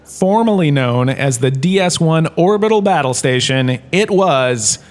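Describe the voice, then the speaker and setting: Man acting a washed-up actor turned professor, slightly high voice, wry, opinionated, theatrical pauses, comedic audio drama lecture. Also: lively intonation. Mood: grand, dramatic.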